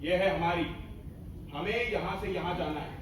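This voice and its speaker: Casting: male actor as high-voiced, authoritative army major, principled and emphatic, stage play, recorded far off in an echoing hall. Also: moderate pace, lightly acted. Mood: insistent. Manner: authoritative, emphatic, mixes in English orders. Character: commanding, principled Indian army major guarding a prisoner. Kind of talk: theatrical Hindi stage acting. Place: stage of a hall at a student festival. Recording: echoey hall, far mic, faint noise.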